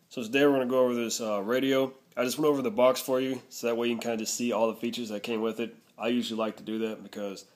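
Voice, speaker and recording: A man narrating a casual product review, explaining things step by step. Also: lowish voice.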